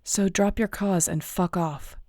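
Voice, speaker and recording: A woman playing a surly, protective werewolf alpha in an audio roleplay, with a gruff, blunt, dry manner. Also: on the low side, steady tone, unhurried, warm tone, dry recording, natural voice. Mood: guarded, hostile. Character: surly young female werewolf alpha, guarded, fiercely protective.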